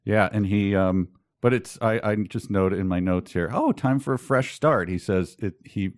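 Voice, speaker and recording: A man with a fairly low voice talks as a casual podcast co-host. He is wry and amused as he discusses a book, with a halting way of getting through his thoughts. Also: no room sound, clean studio sound.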